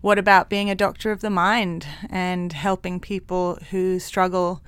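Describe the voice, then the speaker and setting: A woman's voice: on the low side, little pitch movement, a little slow, fairly bright tone, clean tone, no echo. A woman psychologist with a mixed international accent, talking frankly and laughing often in an interview. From Hong Kong. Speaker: clinical psychologist guest. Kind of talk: interview conversation.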